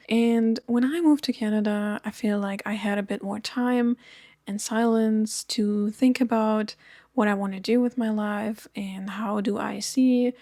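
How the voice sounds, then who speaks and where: A woman in her thirties from Germany, talking earnestly to camera in a personal vlog, with frequent soft ums. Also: medium pitch, dry recording, clean studio sound. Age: 30s. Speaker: vlogger. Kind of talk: personal storytelling chat to camera.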